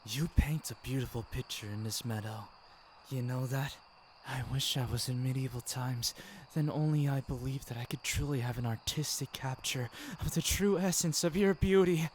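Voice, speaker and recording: A man's voice: mid-range voice, normal speed. A man with a mid-range voice plays a tender, teasing boyfriend in an ASMR roleplay. He speaks affectionately, as if to a partner close by. A faint noise and ambient sound sit behind his voice. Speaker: amateur audio roleplay performer. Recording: clearly noisy, ambience behind voice. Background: ambient background sound.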